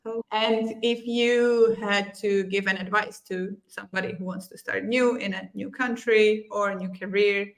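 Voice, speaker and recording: A woman's voice: medium pitch, steady tone, measured pace. A woman hosting a casual interview, asking loose questions and giving short affirmations.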